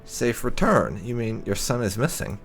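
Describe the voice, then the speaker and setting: A man's voice: mid-range voice, big pitch swings, moderate pace, faint music behind. A man doing let's play commentary with light roleplay, in a dry, sarcastic manner. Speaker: gaming video host.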